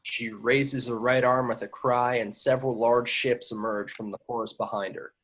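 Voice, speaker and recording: Medium-pitched man narrating a script. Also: steady tone, moderate pace, a bit bright. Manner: stumbles on invented place names. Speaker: action-line narrator. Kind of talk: reading screenplay action lines.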